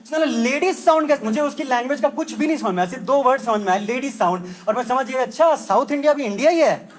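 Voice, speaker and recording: A man's voice: very high voice, quick pace. A young man from Delhi doing a conversational stand-up comedy set and briefly acting out the voices of locals. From Delhi, India. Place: comedy club stage with live audience. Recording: live crowd laughter. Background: audience laughter.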